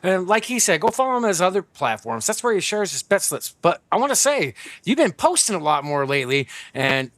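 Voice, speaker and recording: High-voiced, hyped man hosting a podcast. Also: big pitch swings, brisk, few pauses, a bit bright, dry recording. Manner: hyped, plugging his guest, many fillers. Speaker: podcast host. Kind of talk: casual podcast chat.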